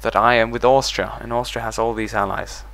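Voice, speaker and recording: A man's voice: fairly low pitch, little pitch movement, brisk, vocal fry, fairly bright tone. A man hosting a let's play, talking through his strategy game moves as he thinks them over. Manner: thinks aloud while managing a strategy game. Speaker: gaming channel host.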